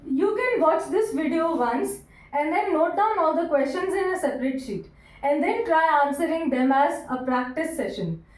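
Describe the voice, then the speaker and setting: A woman teaching English grammar, repeating each sentence slowly word by word and asking her students questions. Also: high-pitched, animated. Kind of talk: classroom grammar lesson. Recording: echoey room, voice from a distance.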